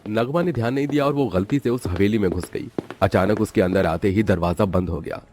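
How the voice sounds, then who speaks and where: A man's voice: fairly low pitch, a little fast, darkish tone, slight background noise. A man narrating a scripted story in a dramatic storytelling style.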